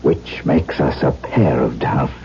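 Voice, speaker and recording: An actor playing a brooding, haunted aristocratic husband in a 1970s radio drama, speaking formally and earnestly. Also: male voice, extremely low pitch, takes it slowly, dark tone, whispered. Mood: tender. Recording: dull vintage radio broadcast, music behind.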